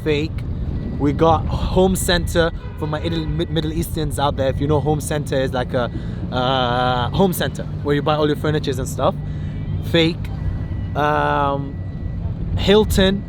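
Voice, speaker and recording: A man from the UK with a British accent, acting as a chatty, informal local guide out on the street. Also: fairly high pitch. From United Kingdom. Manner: chatty, informal, lists and explains. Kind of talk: casual guided-tour chat. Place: outdoors on city streets and in vehicles. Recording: noisy outdoor street and traffic.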